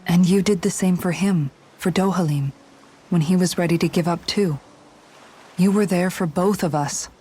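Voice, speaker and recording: A woman's voice: lowish voice, normal speed, mellow, breathy edge. An actress playing a loyal young woman fighter in a video game, talking in a firm, earnest and plain-spoken way. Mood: firm, caring. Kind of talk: dramatic video game voice acting.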